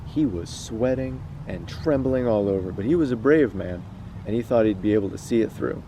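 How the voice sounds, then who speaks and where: A man's voice: lowish voice, lively intonation, normal speed, background noise. A man hosting a casual read-aloud, moving between storytelling and chat. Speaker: host reading stories aloud.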